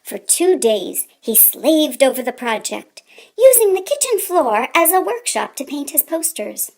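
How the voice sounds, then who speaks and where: High-pitched, slow, animated woman storyteller. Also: vocal fry. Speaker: storyteller reading a children's book aloud. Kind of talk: expressive read-aloud narration for children.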